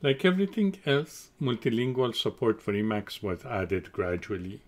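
A middle-aged Iranian man with a Persian accent, presenting a prepared conference talk from his home study in a deliberate, measured way. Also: medium pitch. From Iran. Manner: slow, deliberate, measured delivery.

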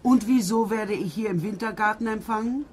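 A woman's voice: mid-range voice, brisk, a crowd in the background, lightly acted. A woman playing a haughty, easily appalled nurse in a German mystery radio play, speaking in a blunt, indignant manner.

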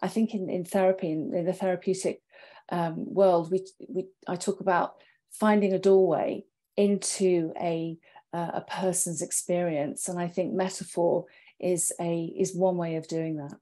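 A middle-aged woman, a therapist, explaining things on an online panel in a measured, deliberate way. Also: fairly low pitch, steady tone, dark tone. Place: home study, indoors. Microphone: earphone headset on video call.

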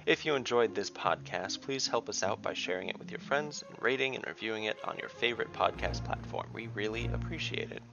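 A man's voice: fairly low pitch, brisk, vocal fry, few pauses, dull audio, music in the background. A man reading scripted narration as the narrator and host of a story podcast.

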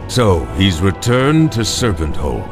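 Deep, slow, sly necromancer. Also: male voice, big pitch swings, music in the background, exaggerated voice. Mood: mocking, menacing. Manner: slow, taunting, sinister. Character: villainous necromancer, sly, mocking, menacing. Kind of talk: video game cutscene acting.

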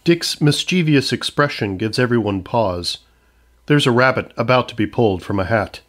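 A man's voice: medium pitch, expressive, moderate pace, a bit bright. A man reading scripted narration for a comedy audio drama. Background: music.

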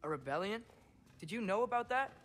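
Youthful, higher-pitched curious boy. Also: lively intonation, brisk, fairly bright tone, a little hiss or hum. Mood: earnest. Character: curious, earnest teenage boy. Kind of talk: video game voice acting.

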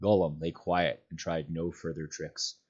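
A man reading a novel aloud as a volunteer storyteller, with expressive, dramatic narration. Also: rumbling low, measured pace, husky, muffled recording. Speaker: volunteer community reader.

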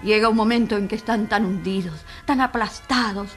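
An actress playing an earnest, impassioned advocate addressing a jury with emphatic rhetorical questions in a radio courtroom drama. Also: female voice, mid-range voice, moderate pace, faint music behind, lightly acted.

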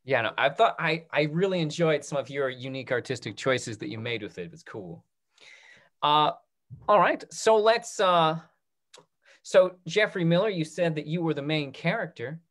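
A man in his thirties moderating a talk-back on a video call in a casual, halting way, often repeating his words. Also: a little high, lively intonation, a bit bright. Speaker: host of a theatre talk-back.

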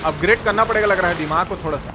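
A man's voice: sits high, rapid-fire, noisy background. A man with a Bihari accent giving colloquial, slangy live gameplay commentary, playfully repeating his phrases. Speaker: game streamer.

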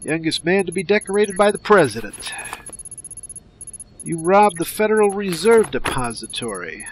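An actor playing a gruff, blunt, profane police commissioner and ex-special-forces veteran in an audio drama. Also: male voice, high-pitched, big pitch swings, moderate pace. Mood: cold, businesslike. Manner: barking orders, blunt, profane. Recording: clearly noisy audio drama track.

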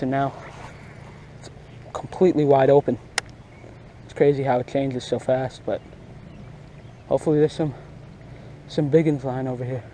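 A young man giving casual, slangy and excitable fishing commentary outdoors, recorded on a body-worn action camera. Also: medium pitch, a little hiss or hum, rough recording. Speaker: fishing vlogger. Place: outdoors beside a grassy pond.

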